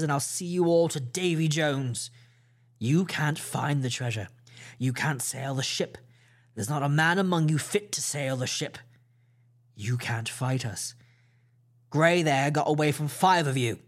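A stern, disciplined ship's captain, voiced by a male reader gives orders in a clipped, measured way. He speaks a touch lower and slower than the narration, unbending and formal in a storybook reading. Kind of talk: audiobook character voice.

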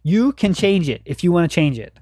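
A man talks alone into a close mic, giving listeners a rambling, heartfelt pep talk and tripping over his own restarts. His voice sits a touch high, and the room around him is dead and dry. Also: sing-song, brisk, a little mellow, no echo. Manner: earnest, rambling, self-correcting pep talk. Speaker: podcast host. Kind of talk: solo podcast monologue.

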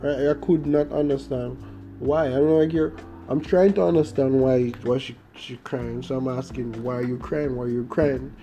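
A man's voice: medium pitch, fairly even intonation, normal speed, warm tone, muffled recording. A young Jamaican man with a Jamaican accent, hosting his own podcast and telling a story in a rambling, hesitant way. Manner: rambling, hesitant, many fillers and restarts. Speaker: podcast host. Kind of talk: casual storytelling monologue. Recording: guitar music playing behind.

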